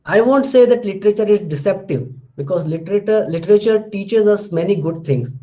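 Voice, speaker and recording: Indian English man, linguistics lecturer, high mellow voice, slightly dull lo-fi recording. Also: brisk. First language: Hindi. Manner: methodical, recaps terms, tags points with "fine". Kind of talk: classroom lecture.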